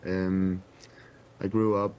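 A young man in his twenties with a Danish accent, answering interview questions over a video call from a press room, hesitant and soft-spoken with many pauses. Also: low-pitched, flat delivery, smooth, lo-fi sound. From Denmark. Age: 20s. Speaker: footballer guest. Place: indoor press room with sponsor backdrop. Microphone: webcam video call.

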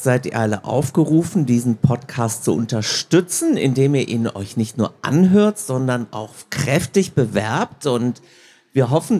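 A man interviewing guests live on stage. His voice is fairly high for a man and noticeably husky. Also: a little mellow. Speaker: interviewer, audiobook producer. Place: stage at a book fair.

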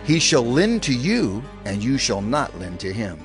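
A man's voice: medium pitch, sing-song, measured pace. A man playing a stern prophet in a dramatized audio Bible, declaiming solemnly. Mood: stern, foreboding. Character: stern male prophet pronouncing divine blessings and curses. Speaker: scripture narrator. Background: music.

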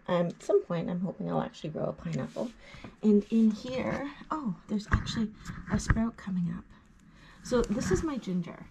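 A woman's voice: mid-range voice, creaky, warm tone. Chatty woman on a noisy home vlog. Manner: chatty and upbeat, walking through her plants. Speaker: gardening channel host. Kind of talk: casual home garden tour vlog. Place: house interior, rooms and furnace room. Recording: noisy home room with furnace hum.

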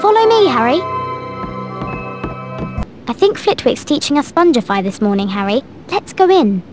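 A bookish British schoolgirl talks in a high, very lively voice. She is brisk, bossy and exact, a game character who always knows best. Faint music plays behind her. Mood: exasperated. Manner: brisk, know-it-all, precise.

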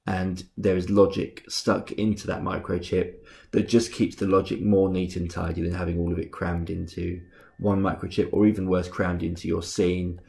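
Husky, congested young man explaining steps. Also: low-pitched. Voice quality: husky, rough. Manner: relaxed step-by-step explaining with frequent ums. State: congested from a cold. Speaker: game tutorial host. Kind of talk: screen-recorded software tutorial.

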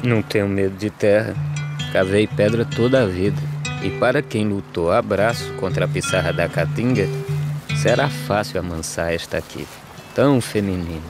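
Actor as low-voiced poor migrant labourer, music behind. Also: male voice, natural voice. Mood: hopeful wonder. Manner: measured, declaimed rhyming delivery. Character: poor, weary migrant farm labourer from the dry backlands. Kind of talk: verse drama in an animated film.

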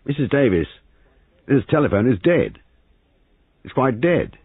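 A man's voice: extremely low pitch, expressive, moderate pace, slightly rough, no echo, full character voice. Man acting stiff, irritable army major. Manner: clipped, stiff. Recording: muffled phone-like audio.

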